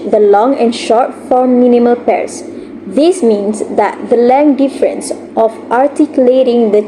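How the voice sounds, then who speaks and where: A woman student reads out a prepared presentation in a steady, scripted way, tripping over a word now and then. Her voice sits a touch high. A clear hum of noise runs behind her. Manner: steady scripted delivery with occasional slips. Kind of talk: reading a class presentation.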